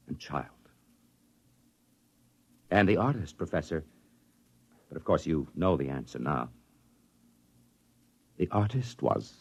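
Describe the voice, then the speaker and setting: An actor narrating as a male astronaut in a 1950s radio drama, measured and dramatic. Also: sits low, lo-fi sound, heavily acted. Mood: confiding. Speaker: narrator in the story. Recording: old radio broadcast with music beneath.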